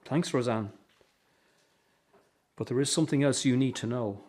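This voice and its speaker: A man's voice: medium pitch, moderate pace, darkish tone, natural voice. A middle-aged man acting in a radio drama as a regretful estranged husband and father, subdued and resigned in manner. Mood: weary, worried.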